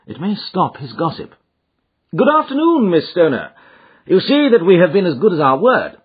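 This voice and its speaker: Man playing brisk, commanding detective, husky, thin audio. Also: high-pitched, huge pitch swings, voice pushed up, heavily acted. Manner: incisive, precise, authoritative. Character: brisk, shrewd, commanding Victorian gentleman detective. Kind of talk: audiobook character dialogue. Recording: muffled, thin phone-like audio.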